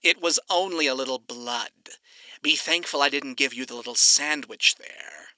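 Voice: mid-range voice, normal speed, bright, forceful tone, dry recording, low-quality recording. A performer voicing a suave, sinister male demon in an audiobook, drawling and teasing with theatrical menace. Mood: bored, mocking. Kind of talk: acted audiobook dialogue.

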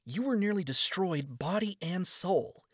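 A man voicing a kind but stubborn young demon princess in a lifted, softer voice. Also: sits high, lively intonation, unhurried, no echo, clean studio sound, pitched above the performer's own voice. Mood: exasperated.

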